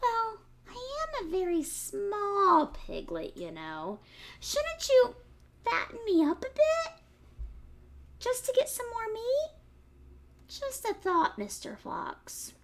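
A woman voicing a small, sly male piglet with a high, sing-song pleading way of talking. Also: huge pitch swings, takes it slowly, full character voice.

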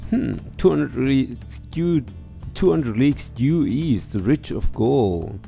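A man's voice: mid-range voice, expressive, takes it slowly, creaky, dark tone. A middle-aged man doing let's play commentary and reading game text aloud into a headset. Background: game music.

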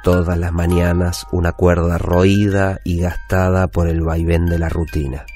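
A wistful, daydreaming Argentine shopkeeper in his forties, tells his own story in a reflective radio drama. His voice sits fairly low, with music playing underneath. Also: flat delivery, a little slow. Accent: Argentine Rioplatense. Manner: reflective, storytelling, self-correcting. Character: wistful forty-year-old bookshop owner, routine-bound daydreamer. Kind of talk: first-person radio drama narration. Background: music bed.

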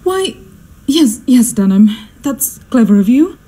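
Woman acting a fussy, theatrical society mother, swooping pitch. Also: sing-song, takes it slowly, mellow, slight background noise. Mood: urgent. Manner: theatrical, exclamatory. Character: fussy, imperious, status-hungry society mother and hostess. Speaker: actress. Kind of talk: radio drama acting.

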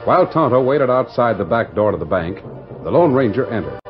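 A man's voice: mid-range voice, sing-song, brisk, close to an everyday voice. Guy doing dramatic old radio western narrator, muffled recording, music behind him. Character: dramatic storyteller of a western serial. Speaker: announcer-narrator. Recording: dull vintage radio recording.

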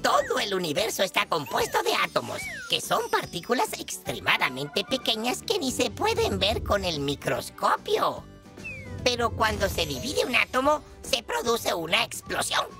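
A woman voicing a female fixie character explaining science to children with lively emphasis. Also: fairly high pitch, moderate pace. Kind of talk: educational cartoon explainer. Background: children's music.

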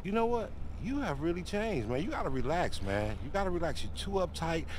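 A man playing a brash, boastful young-sounding street gangster and stoner in a video game, with a Southern California American accent and loud-mouthed, slangy, teasing talk. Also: on the high side, very animated, fairly quick, slight background noise. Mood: lecturing, indignant. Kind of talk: video game cutscene acting.

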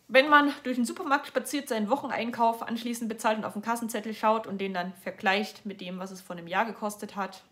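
A woman's voice: a little high, fairly bright tone. A young woman from Germany giving casual, sarcastic news commentary on a livestream from her sofa, chatty and often restarting her sentences. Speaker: political vlogger. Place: indoors at home, seated on a sofa.

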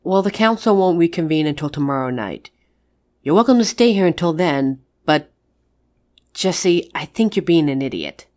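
A woman acts out a witch who is a pushy, caring friend. She has a moderately low female voice and a measured, frank delivery edged with exasperation, in the manner of a dramatic audio roleplay. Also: animated, a little mellow. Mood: urging, exasperated.

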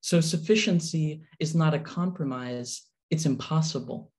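A woman poet reading poetry in a measured, deliberate manner over a video call. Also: low voice, a little mellow. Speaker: poet reading own work. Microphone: video call microphone.

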